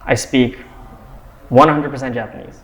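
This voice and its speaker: A man's voice: mid-range voice, fairly even intonation, a bit bright. Thoughtful young man, echoey room. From United States. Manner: thoughtful, measured explaining. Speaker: discussion participant. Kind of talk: casual discussion. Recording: roomy echo.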